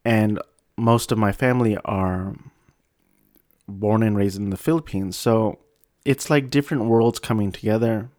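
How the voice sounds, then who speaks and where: A man's voice: lowish voice, a little slow, darkish tone, no echo, clean studio sound. A young man telling a personal story in a casual, self-deprecating, rambling way with frequent restarts. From United States.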